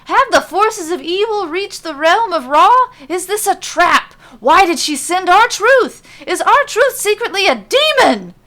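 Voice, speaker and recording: Woman voicing a loud, suspicious, dramatic man. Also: very high voice, very animated, a little slow, hardly any pauses, pitched above the performer's own voice, exaggerated voice. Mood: alarmed suspicion. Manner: dramatic, rising, insistent. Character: suspicious man firing off escalating questions.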